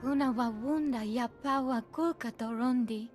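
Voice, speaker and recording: A woman acting a female alien from a video game, pitched high as she speaks an invented tongue. Music plays clearly behind her. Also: moderate pace, close to an everyday voice. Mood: insistent.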